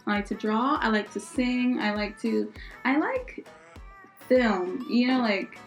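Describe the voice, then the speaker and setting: A woman's voice: on the high side, lively intonation, a little slow, soft background music. A young American woman chatting casually and informally as a podcast co-host, with exclamations and a giggly way of talking. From born in Israel, raised in Philadelphia, US. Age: young adult. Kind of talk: casual unscripted chat.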